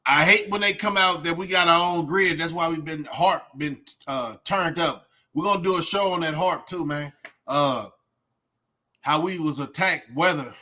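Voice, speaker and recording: Male streamer, high forceful voice, streetwise casual opinion talk. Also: moderate pace. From Texas, United States. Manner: streetwise slangy talk with frequent "man" and "bro". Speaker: host of a reaction livestream. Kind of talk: casual opinionated rant to viewers.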